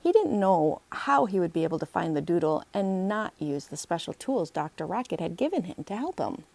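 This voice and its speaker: A woman's voice: lowish voice, very animated, a little fast, gravelly, darkish tone. A woman, the author, reading her children's book aloud as a storybook narrator.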